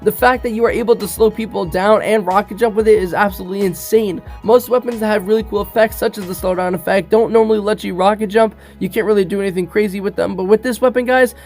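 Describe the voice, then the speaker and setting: A young man with a high voice rattles through game tips at speed, sounding a little sick and rough. He talks casually, leaning on fillers and addressing "guys" often. Faint music plays behind him. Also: fairly even intonation, fast talker, few pauses. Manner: chatty, many fillers, keeps saying "guys". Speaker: gaming youtuber.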